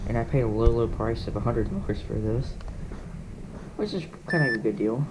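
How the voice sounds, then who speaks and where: A man's voice: medium pitch, expressive, normal speed. Offhand, rambling man on noisy audio. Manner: offhand, rambling, self-correcting. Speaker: hobbyist showing a radio collection. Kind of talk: casual unscripted show-and-tell. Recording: noisy handheld camera audio with clicks.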